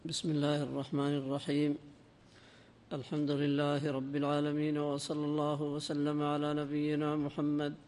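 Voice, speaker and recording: Male student reader, higher-pitched and very monotone, smooth, formally reciting classical text in long reverb. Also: normal speed, slight background noise. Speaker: student reader in a religious lesson. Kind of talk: reading classical text aloud, semi-chanted.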